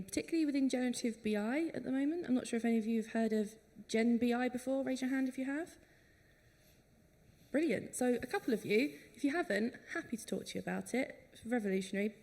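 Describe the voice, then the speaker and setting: A woman's voice: mid-range voice, darkish tone. A woman speaking on a conference panel about workplace training, enthusiastic and conversational. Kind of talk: conference talk. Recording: reverberant conference hall.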